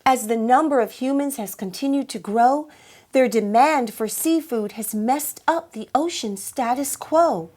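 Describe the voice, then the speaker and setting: A woman voicing an earnest mermaid who pleads for her oceans in a measured, dramatic rhyming picture-book read-aloud. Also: high-pitched, darkish tone. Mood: grave, insistent.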